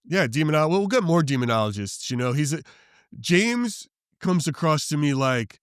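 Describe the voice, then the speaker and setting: A man co-hosting a paranormal podcast, talking to his co-host and the live chat. He calls his own voice low, and he jokes around in an easygoing way. The sound is dry and close to the mic. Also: sing-song, moderate pace, clean tone, dry recording. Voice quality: self-described low voice. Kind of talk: casual live-stream chat.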